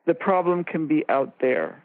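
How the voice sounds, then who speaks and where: A woman co-hosting a radio discussion, explaining ideas in a measured way and stressing her key points. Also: low voice, lively intonation, no echo. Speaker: radio show co-host and expert. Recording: narrow phone-like line, very dry.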